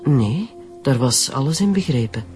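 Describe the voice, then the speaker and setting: A woman's voice: very deep voice, lively intonation, moderate pace, over loud music. A woman playing a curt, guarded business owner questioned by police in a radio drama. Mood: cool, formal. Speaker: actor in a radio crime serial. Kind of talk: naturalistic radio drama acting.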